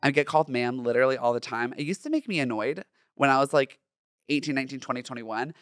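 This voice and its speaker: A young man from Southern California with a feminine, light voice, hosting a personal solo podcast in a chatty, campy, expressive way. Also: medium pitch, fast, dry recording, studio quality. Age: young adult. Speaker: podcast host.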